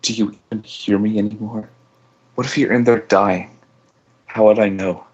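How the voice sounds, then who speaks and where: A man's voice: lowish voice, fairly even intonation, moderate pace, husky. A man reading a first-person story aloud as an amateur, sometimes restarting a line. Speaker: amateur fan fiction reader. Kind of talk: scripted narration read aloud in first person. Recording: dull lo-fi microphone.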